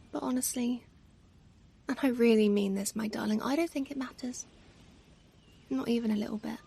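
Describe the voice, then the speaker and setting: A woman playing a tender, doting mother in an ASMR roleplay, speaking softly and affectionately, sometimes nearly whispering. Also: fairly high pitch, gravelly. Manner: soft, affectionate, gently murmuring, sometimes near whisper. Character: tender, doting, playfully self-deprecating mother comforting her pet. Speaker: ASMR performer. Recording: steady ambient noise, ocean waves. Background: ocean waves ambience.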